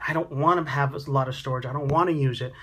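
A man giving a relaxed, chatty walkthrough of his tiny house build, talking into a handheld phone camera in a small wooden room. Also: mid-range voice, fast, breathy edge. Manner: relaxed, chatty, pointing things out. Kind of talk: casual walkthrough vlog.

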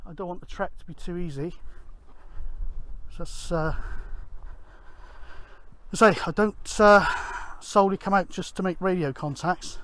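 A middle-aged man gives casual outdoor vlog commentary in a rambling, halting way, thinking aloud. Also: high-pitched, fairly bright tone. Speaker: amateur radio vlogger, presenter. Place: outdoors on an open grassy hillside.

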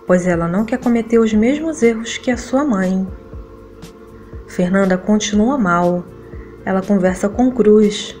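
A Brazilian woman narrates a soap opera recap from a script. Her voice sits at a middle pitch. Light music plays behind her. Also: darkish tone.

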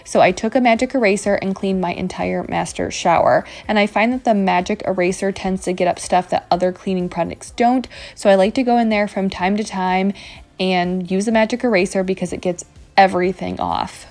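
A woman's voice: mid-range voice, steady tone, a little fast, darkish tone. A woman in her thirties giving a casual voice-over as a home cleaning vlogger, talking directly to viewers. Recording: music playing behind voice. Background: background music.

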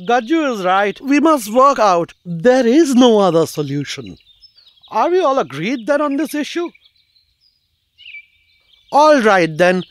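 High-voiced, bossy bird elder. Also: male voice, very animated, measured pace, pauses often, exaggerated voice. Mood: decisive. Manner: authoritative, orderly.

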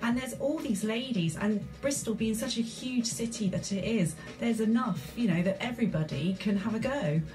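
A woman's voice: a little high, moderate pace, hardly any pauses, over music, rough recording. A woman, a guest on a radio show, explaining her chorus enthusiastically and fluently. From England. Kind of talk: radio interview. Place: community radio studio.

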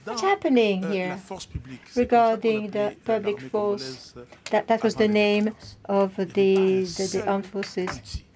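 A woman giving a live simultaneous interpretation of a conference speech, in halting, piecemeal phrases with repeated words. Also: medium pitch, fairly even intonation, crowd noise.

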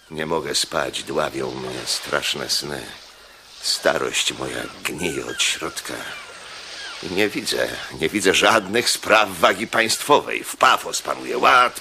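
An actor playing an ageing Roman proconsul in a radio drama, brooding, weary and grandiose, with a gravelly voice. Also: male voice, deep voice, noisy background. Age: older. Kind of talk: theatrical radio drama acting.

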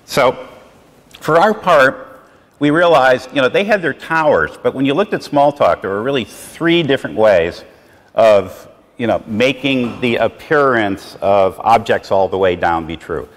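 A man's voice: medium pitch, expressive, unhurried. A middle-aged man giving a rambling, conversational conference talk, with many fillers. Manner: rambling, loose and conversational, heavy on "you know" fillers. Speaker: conference speaker. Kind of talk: conference lecture. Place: lecture hall with projected slides. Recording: reverberant lecture hall.

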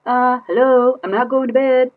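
A woman voicing a stubborn, defiant little girl from a picture book, emphatic and drawn-out in a sing-song way. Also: high voice, fairly even intonation, phone-quality sound, voice pushed up, natural voice.

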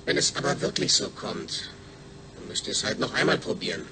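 Gravelly, animated astronaut. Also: male voice, mid-range voice, brisk, noisy background. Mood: dry, amused. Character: dryly humorous male astronaut before surgery. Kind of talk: German radio play acting.

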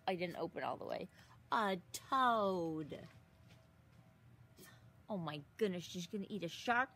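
A woman in her thirties reading a picture book aloud to young children outdoors, expressively, with exaggerated gasps and drawn-out words. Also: mid-range voice, a bit bright, breathy edge. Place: outdoors in a leafy garden. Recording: outdoor recording with some ambient noise.

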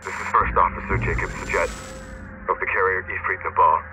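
Stranded first officer's distant log. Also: male voice, sits low, flat delivery, a little fast, noisy background. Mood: grave. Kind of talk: game cutscene recorded log.

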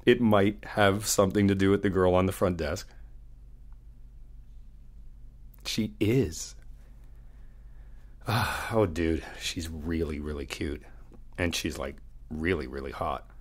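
A man playing an easygoing, flirty hotel guest in an audio roleplay, chatting casually and haltingly in one-sided phone talk. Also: sits low, fairly even intonation. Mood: smitten, bashful. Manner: casual, halting, slangy, swearing.

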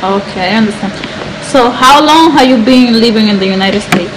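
A woman interviewer asks her questions hesitantly, with fillers. Her voice is fairly high, and clear street noise runs behind it. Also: moderate pace.

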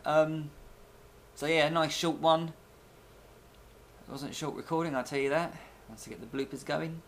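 A man's voice: on the high side, steady tone, moderate pace, slight background noise. A young man chatting casually and rambling in a video blog, recorded on a webcam microphone in a bedroom. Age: young adult. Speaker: video blogger. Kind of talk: casual rambling vlog chat. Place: small bedroom, indoors. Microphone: webcam at desk, arm's length.

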